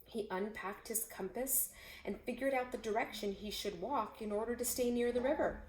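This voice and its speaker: A woman reading a children's story aloud with expressive, dramatic phrasing. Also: mid-range voice. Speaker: storybook reader. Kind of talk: children's read-aloud narration with brief asides about pictures. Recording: echoey room with faint noise.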